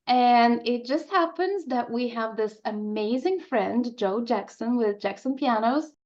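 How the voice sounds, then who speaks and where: A woman's voice: a little high, animated, measured pace, darkish tone. Woman composer with dull, lo-fi sound. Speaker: opera composer, interview guest. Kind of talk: radio interview conversation.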